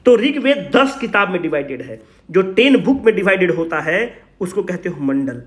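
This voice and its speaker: A man's voice: sits high, fairly bright tone. A man teaching an exam revision class informally, asking questions and answering them himself, mixing in English phrases. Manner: informal, teasing, addresses students familiarly; mixes English phrases into speech. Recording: echoey room.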